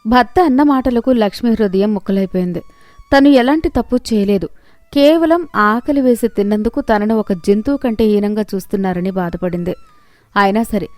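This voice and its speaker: A woman's voice: medium pitch, moderate pace, darkish tone, no room sound. A woman reading a scripted moral story as its narrator.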